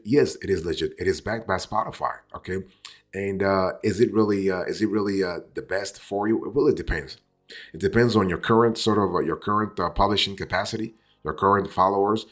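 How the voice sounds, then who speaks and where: A man giving an explanatory review as a host, deliberate in delivery, repeating phrases and checking in with the listener as he goes. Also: low-pitched, breathy edge. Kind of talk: explanatory software review, semi-scripted.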